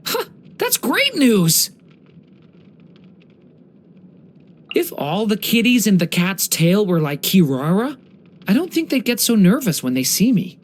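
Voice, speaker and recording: Game role: young dragon boy in human form. Earnest, polite, gentle storyteller, animated intonation. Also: high-pitched, normal speed, heavily acted. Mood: bright, polite. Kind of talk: English video game character voice lines.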